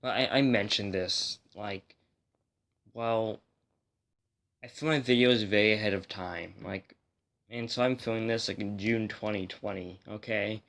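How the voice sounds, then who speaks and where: Male video host, lower register, slightly hoarse from a cold, informal and rambling explainer delivery. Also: unhurried, fairly bright tone. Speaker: host of a music history video series. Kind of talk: casual explainer talk to viewers.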